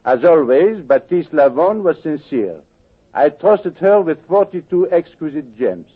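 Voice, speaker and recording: Slick french crook from an old radio show, oily polite, voice swoops around, tinny sound. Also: male voice, fairly high pitch, big pitch swings, measured pace, husky, heavily acted. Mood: smooth, smug. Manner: oily, mock-polite, hesitant formal phrasing. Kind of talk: 1940s radio drama acting.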